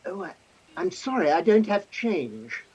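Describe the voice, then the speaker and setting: A polite, flustered gentleman of the stage, played by a woman, with a husky voice and lively, swinging intonation. He is hesitant and fussily proper, and the recording is a little muffled. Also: fairly low pitch, measured pace, exaggerated voice. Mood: flustered, apologetic. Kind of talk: theatrical staged play reading.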